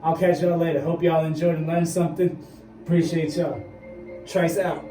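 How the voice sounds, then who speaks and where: A young man in his late teens, strained from being held in an awkward position, drawling a slow sign-off. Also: a little high, level tone, warm tone, faint music behind. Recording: echoey, voice from far off.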